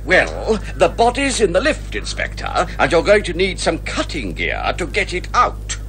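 A man's voice: on the high side, huge pitch swings, moderate pace, bright, forceful tone, faint music behind, heavily acted. An actor playing a reluctant, fussy older man, a detective's exasperated sidekick in radio comedy. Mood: matter-of-fact.

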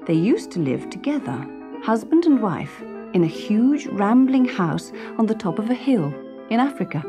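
A woman narrating a children's story in an expressive, clearly phrased way. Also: fairly high pitch, normal speed, music in the background.

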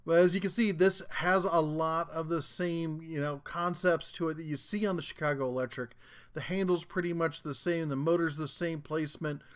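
A man's voice: fairly high pitch, a bit bright. A man hosting a tool review channel, giving a casual, folksy and jokey rundown of a new product as a voice-over.

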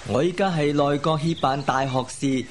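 Radio drama: actor as deferential manservant, high male voice, indulgent, noisy broadcast with birdsong. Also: normal speed, lightly acted. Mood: cheerfully boastful. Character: obliging, good-humoured male household servant.

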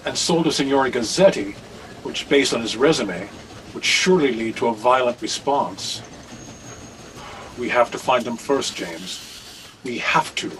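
An older man acting in a radio drama as an anxious, grave reverend with a deliberate, formal way of talking. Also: medium pitch, expressive, a bit bright. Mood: worried. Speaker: radio play actor. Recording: distant, noisy video call audio.

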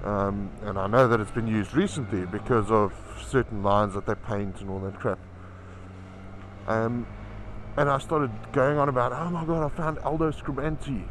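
A man's voice: mid-range voice, normal speed. Chatty profane man riding a motorcycle. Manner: chatty, profane, self-mocking. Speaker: motorcycle vlogger. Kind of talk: casual rambling travel vlog. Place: on a moving motorcycle outdoors. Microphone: helmet-mounted camera. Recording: loud wind and engine noise.

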